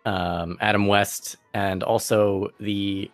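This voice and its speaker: A man's voice: on the low side, flat delivery, slow pace, no echo, faint music behind. A young man chatting casually in an interview about his voice acting. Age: young adult. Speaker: student voice actor. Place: bedroom. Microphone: close desk microphone.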